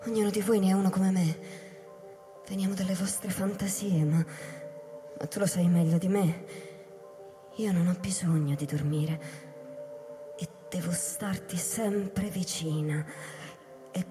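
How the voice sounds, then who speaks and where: A woman playing an anguished, bewildered alien replica of a human in a radio drama, halting and intense. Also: lowish voice, steady tone, natural voice. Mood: resigned, confessional. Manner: halting, fragmented, intense delivery with hushed passages. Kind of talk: theatrical radio drama acting with live music. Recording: long hall reverb under music. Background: music.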